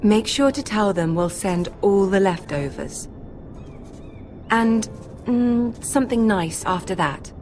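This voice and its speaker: A woman's voice: medium pitch, lively intonation, takes it slowly, a little mellow, soft background music. An actress playing a shrewd young noblewoman in a fantasy game, refined and arch in manner. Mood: breezy, exasperated. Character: poised, shrewd young highborn lady. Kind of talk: dramatic video game acting.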